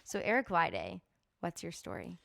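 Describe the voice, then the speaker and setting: A woman's voice: fairly low pitch, huge pitch swings, normal speed, gravelly, pushed and bright. A woman hosting a podcast, asking questions in a chatty, enthusiastic way and laughing easily. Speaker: podcast host. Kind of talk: casual interview chat.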